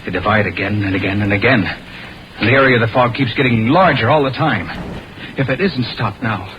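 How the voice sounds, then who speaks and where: A man's voice: lowish voice, brisk, background noise, lightly acted. An American actor playing an earnest, decisive young man fighting creatures in a vintage radio horror drama, with dramatic delivery. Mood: grave, ominous. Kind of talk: vintage American radio horror acting, with first-person narration. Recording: dull vintage radio recording over music.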